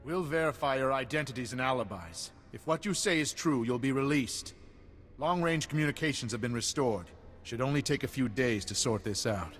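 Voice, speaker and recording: An actor playing a stern, suspicious guard captain in a video game, giving orders with authority. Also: male voice, mid-range voice, moderate pace, a bit bright, big-room sound, soft background music, natural voice. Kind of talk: video game cutscene acting.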